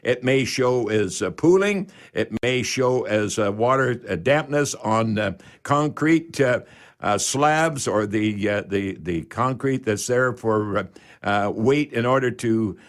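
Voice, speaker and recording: Radio advice host, male, older. Mid-pitched, folksy, halting explainer who repeats himself. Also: animated. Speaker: radio call-in show host, home repair expert.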